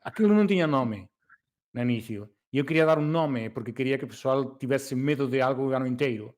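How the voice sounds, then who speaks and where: A man from Galicia with a Galician accent, an event organizer talking casually, mixing Galician and Portuguese, laughing often and pausing on fillers. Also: mid-range voice, darkish tone. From Galicia, Spain. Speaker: race organizer. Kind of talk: casual podcast chat.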